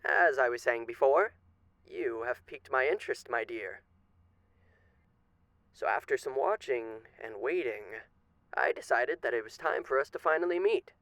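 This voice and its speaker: A man's voice: medium pitch, expressive, moderate pace, pauses often, a bit bright, dry recording. A man playing a suave, menacing radio demon in an ASMR roleplay, speaking with genteel, teasing, theatrical politeness. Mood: smooth, persuasive.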